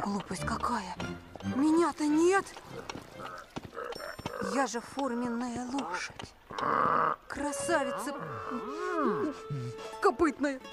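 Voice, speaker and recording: A woman voicing a lovelorn young peasant woman turned into a horse, plaintive and dramatic, in an animated film. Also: high-pitched. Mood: hurt, agitated.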